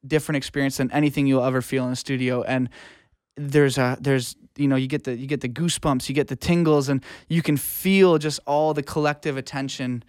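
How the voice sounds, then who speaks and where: A man with a clean, smooth voice and middling pitch talks in a casual interview style. He is earnest and colloquial, recorded close and dry with no room sound. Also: steady tone, a little fast, a little mellow, no room sound. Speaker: interview guest, audio engineer and music producer.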